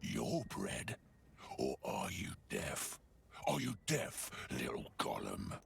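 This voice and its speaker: Menacing orc brute for a game: rock-bottom pitch, slow drawl, taunting growl. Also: male voice, huge pitch swings, fairly bright tone, slight background noise, full character voice. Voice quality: gravelly, growling. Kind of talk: video game villain acting.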